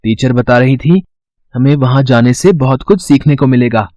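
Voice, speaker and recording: A man's voice: medium pitch, moderate pace, warm tone, dry recording, natural voice. A man voicing an earnest, hardworking schoolboy in a cartoon moral story. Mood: eager. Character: earnest, hardworking poor schoolboy, a servant's son.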